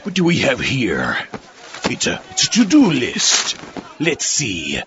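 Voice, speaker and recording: An actor playing a sneaky, lecherous opportunist muttering expressively to himself in a comedy audio drama. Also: male voice, mid-range voice, huge pitch swings, takes it slowly, fairly bright tone, slight background noise, full character voice. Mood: sly. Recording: dull lo-fi audio.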